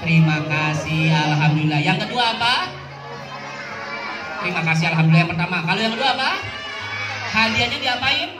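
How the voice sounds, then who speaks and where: A woman's voice: on the low side, animated, brisk, pauses often. A woman telling a religious story to children, asking her listeners questions and repeating key words. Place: outdoor mosque yard event over loudspeakers. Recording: echoey PA, distant, with crowd and music.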